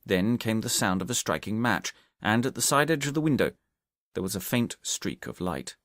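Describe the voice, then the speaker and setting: A male audiobook narrator reads detective fiction expressively. His voice sits fairly low and moves with lively intonation. The recording is dry and close, with very little room sound. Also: normal speed, dry recording.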